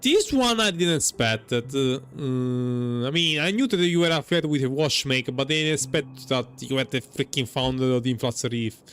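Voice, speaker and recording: A man's voice: medium pitch, expressive, moderate pace, few pauses, fairly bright tone, clear, resonant tone. A man giving casual live commentary as he streams a video game playthrough.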